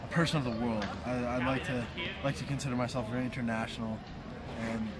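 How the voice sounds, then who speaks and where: A man's voice: medium pitch, fairly even intonation, fast. A teenage boy answering interview questions, hesitant and searching for his words. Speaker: high school student. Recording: loud background noise outdoors.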